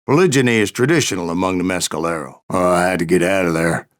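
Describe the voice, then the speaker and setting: A man playing a grizzled old American gunslinger in a video game, telling tall tales with a folksy, wry, gravelly drawl. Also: low voice, fast talker, lightly acted. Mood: wry, cantankerous. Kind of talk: video game character narration.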